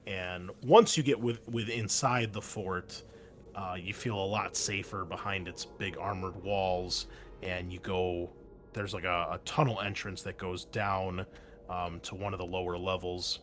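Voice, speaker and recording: Low-voiced man running a tabletop game. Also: unhurried, a little hiss or hum. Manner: relaxed narration with many fillers. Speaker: game master.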